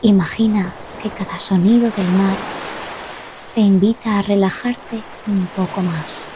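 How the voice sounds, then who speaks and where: A woman narrating a guided relaxation meditation, speaking gently and soothingly with long pauses between short phrases. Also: mid-range voice, fairly even intonation, noisy background. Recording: loud ocean waves behind the voice. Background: sound of sea waves.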